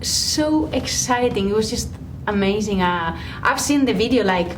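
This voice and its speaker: Expressive woman. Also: medium pitch, normal speed, slight background noise, low-quality recording. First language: Spanish. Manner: expressive, acts out her own reactions. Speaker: actor, interview guest. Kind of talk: animated interview answers.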